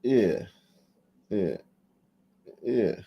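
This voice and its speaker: A man's voice: lowish voice, very animated, very slow, vocal fry, breathy edge, muffled recording. A man giving blunt, opinionated commentary in a casual chat, often restarting his sentences.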